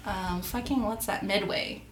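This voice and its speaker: A woman's voice: medium pitch, measured pace, reverberant, a little hiss or hum. A twenty-six-year-old woman co-hosting a podcast, telling her story in a casual, self-deprecating way with laughs and fillers. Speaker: podcast co-host. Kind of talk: casual chat.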